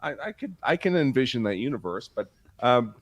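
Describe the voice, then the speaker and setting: A man's voice: medium pitch, big pitch swings, a little fast, dry recording. A man giving casual legal commentary on a live stream, in a wry, joking and opinionated way.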